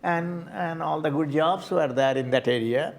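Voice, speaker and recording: An older man with an Indian accent, answering interview questions in a halting way, often repeating words as he searches for them. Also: a little high, gravelly. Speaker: community board candidate.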